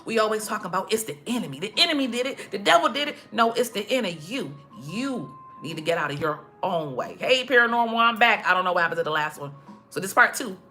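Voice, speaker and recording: Preachy woman with a bright voice. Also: mid-range voice, lively intonation, quick pace, soft background music. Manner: preachy, emphatic, repeats herself. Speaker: motivational speaker. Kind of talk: casual motivational talk to followers. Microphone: phone.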